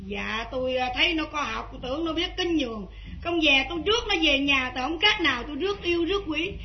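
A woman's voice: sits high, expressive, fast. An actress playing a spiteful, bullying older mother-in-law in a melodrama, with a southern Vietnamese accent and a scolding, wailing manner. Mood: aggrieved, self-justifying. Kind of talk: Vietnamese stage melodrama acting. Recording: dull lo-fi old drama recording.

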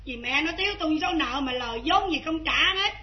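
A woman's voice: high-pitched, animated, fairly quick. An actress playing a spiteful, bullying older mother-in-law in a melodrama, with a southern Vietnamese accent and a scolding, wailing manner. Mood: aggrieved, self-justifying. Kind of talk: Vietnamese stage melodrama acting. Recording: dull lo-fi old drama recording.

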